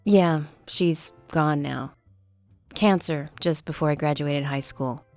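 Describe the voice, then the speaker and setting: An American woman playing a sincere, candid, soft-hearted young woman in a video game drama. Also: low voice, slow, soft background music. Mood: quietly sad. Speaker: voice actor in a game. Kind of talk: video game cutscene dialogue.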